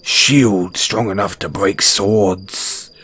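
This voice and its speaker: A man's voice: on the low side, fairly even intonation, very slow, pushed and bright, breathy edge, heavily acted. A man playing a kind, modest dwarven blacksmith in a fantasy audio roleplay, talking in a folksy, hesitant storyteller's way to a companion. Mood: fond wonder. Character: kind, modest, battle-scarred dwarven blacksmith, hearty adventurer.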